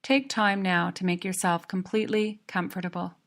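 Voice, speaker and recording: A woman narrating a guided yoga relaxation in a calm, measured, soothing manner. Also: mid-range voice.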